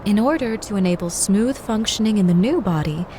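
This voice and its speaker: Actress as a measured clinical female guide, noisy background. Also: mid-range voice, lively intonation, warm tone, lightly acted. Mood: calm, clinical. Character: polite clinical female guide running a memory synchronization test. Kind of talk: scripted game dialogue, procedural instructions. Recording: clear vehicle and ambient noise behind.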